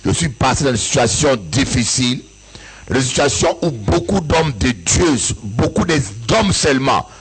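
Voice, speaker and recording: A bishop from Africa giving an impassioned church sermon, his voice rising in sing-song peaks and breaking into shouts. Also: mid-range voice, animated, unhurried, faint music behind, low-quality recording. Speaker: bishop and pastor. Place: church service with an audience.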